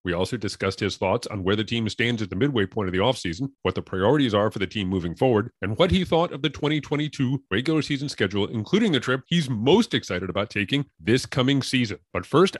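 A man's voice: medium pitch, expressive, fast talker, hardly any pauses, husky, no echo, clean studio sound. A man hosting a sports podcast, delivering scripted intros and interview questions.

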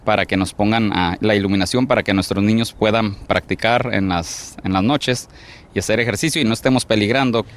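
Earnest low-voiced man making an appeal. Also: fairly even intonation, brisk. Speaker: community member being interviewed. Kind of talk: unscripted appeal in an interview.

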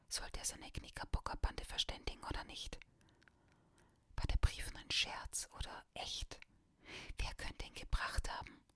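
A woman reading a children's story aloud in a soft, steady whisper, ASMR style. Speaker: reader.

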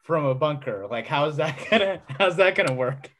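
A man's voice: on the high side, animated, moderate pace, a bit bright, slight background noise. A man hosting a casual podcast interview, joking along and giving many affirming mm-hmms. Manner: jokey, lots of affirming mm-hmms. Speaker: podcast host.

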